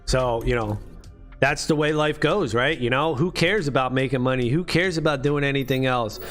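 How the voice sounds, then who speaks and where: A man's voice: mid-range voice, normal speed, a bit bright, soft background music. A man in his late thirties, chatting casually on a live stream in loose, profane slang full of "dude" and "bro". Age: late 30s. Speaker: livestream host.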